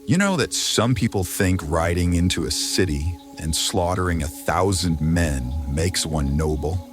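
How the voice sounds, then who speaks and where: An actor playing a grave, troubled medieval duke in a fantasy audio drama, speaking in a measured, philosophical way. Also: male voice, low-pitched, expressive, soft background music. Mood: brooding.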